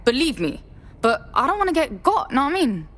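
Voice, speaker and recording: A woman's voice: high voice, very animated, a little fast, a bit bright, a little hiss or hum. A woman playing a streetwise, defiant young recruit in a video game, slangy and brash, with a London accent. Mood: wary.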